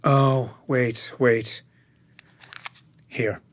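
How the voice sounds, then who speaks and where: An actor playing a careful, placating middle-aged husband in a naturalistic radio drama, speaking tentatively and haltingly. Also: male voice, mid-range voice, fairly even intonation. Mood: careful, concerned.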